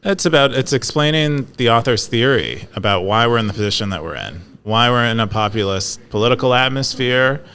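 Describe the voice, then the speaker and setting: A man's voice: mid-range voice, expressive, a little fast, few pauses, bright, forceful tone, smooth. A man from California in a casual talk, explaining an idea with many fillers, restarts and hesitations. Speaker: podcast co-host. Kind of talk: casual conversational discussion.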